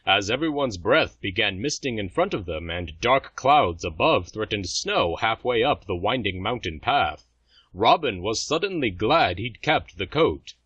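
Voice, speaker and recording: A man's voice: lowish voice, sing-song, measured pace, a bit bright, husky, no echo. A man reading scripted fantasy story narration for an audio drama. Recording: slightly dull, music underneath.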